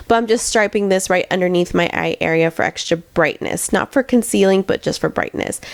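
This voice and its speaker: Congested young woman, low and nasal. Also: darkish tone, dry recording. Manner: chatty, step-by-step explaining. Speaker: beauty vlogger. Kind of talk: makeup tutorial voice-over.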